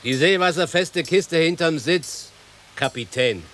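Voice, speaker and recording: A man's voice: fairly high pitch, unhurried, fairly bright tone. An actor playing an obliging, hardy coachman in a radio play, plain-spoken and familiar with his guest. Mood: hearty, brisk. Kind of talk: German radio play acting.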